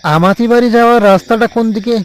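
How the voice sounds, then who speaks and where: A man's voice: extremely high pitch, fast talker, slight background noise. A man playing a stubborn, anxious traveller in a cartoon horror story, irritable and pleading by turns. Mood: irritated, stubborn. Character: stubborn, anxious male traveller carrying money. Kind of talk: cartoon ghost-story voice acting.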